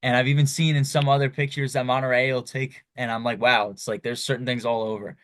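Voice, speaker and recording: A man's voice: medium pitch, level tone, fast talker, a bit bright, no room sound, studio quality. A young man hosting a podcast, asking long, loose questions with lots of you-knows. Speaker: podcast host. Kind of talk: casual interview chat.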